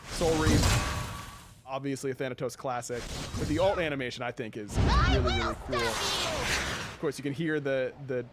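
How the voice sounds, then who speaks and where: A man hosting a casual video game showcase, talking in an upbeat, enthusiastic and halting way with music behind him. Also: mid-range voice, big pitch swings, heavy background noise. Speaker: livestream host.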